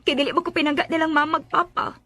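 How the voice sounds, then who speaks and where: A woman's voice: sits high, level tone, quick pace, pushed and bright, no echo. A woman acting in a Bisaya radio drama as a meek, despairing schoolgirl rejected by her family, pleading emotionally. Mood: resigned, sad.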